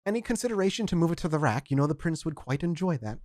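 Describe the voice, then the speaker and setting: A storyteller voicing a condescending, genteel male vampire elder who speaks softly and patronizingly. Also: medium pitch, expressive, very fast, dry recording, pitched above the performer's own voice, close to an everyday voice. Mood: courteous. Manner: patronizing, soft-spoken.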